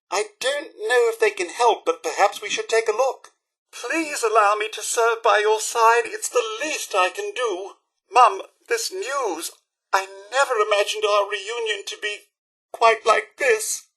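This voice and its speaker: Prim, loyal British robot butler game companion, formal and deferential, bright and slightly husky. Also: male voice, high voice, heavily acted, rough recording. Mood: earnest, devoted. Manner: formal, deferential, theatrically polite. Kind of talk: scripted video game dialogue.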